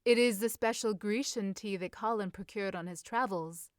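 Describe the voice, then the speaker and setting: A woman's voice: mid-range voice, moderate pace, smooth, no room sound, clean studio sound, lightly acted. A woman reading as a confident, scheming young society lady, eager and formal in a period story. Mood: eager, coaxing. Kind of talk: audiobook-style character reading.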